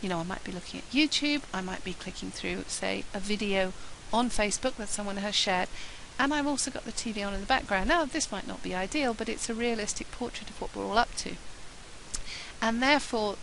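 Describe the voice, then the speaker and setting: A woman talking about marketing in a chatty, upbeat way, her voice husky from a flu. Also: medium pitch, brisk, a bit bright, slight background noise, poor audio. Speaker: marketing presenter. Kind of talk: solo talk to camera.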